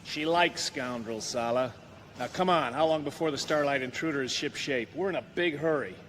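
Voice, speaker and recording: An actor playing a cocky, roguish smuggler pilot, brash and wisecracking, in a space-opera audio drama. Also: male voice, mid-range voice, moderate pace, fairly bright tone, slight background noise, natural voice. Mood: bargaining.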